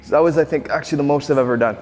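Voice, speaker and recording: A young adult man chatting in a relaxed, agreeable way with casual slang during a gym workout. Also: on the high side, rapid-fire. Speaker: workout partner. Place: large echoey gym. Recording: echoey gym with music behind.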